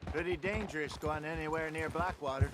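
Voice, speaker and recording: An actor playing a seasoned, patient older American outlaw in a video game, with a measured, folksy, wry manner. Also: male voice, fairly high pitch, fairly even intonation, heavy background noise, lightly acted. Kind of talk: dramatic video game acting. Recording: game music behind the voice.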